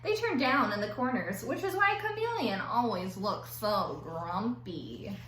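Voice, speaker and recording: A woman teacher reading aloud to children in an expressive, playful way, drawing out words for emphasis and adding chatty asides. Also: medium pitch, a little slow, crowd noise. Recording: roomy echo with faint background noise.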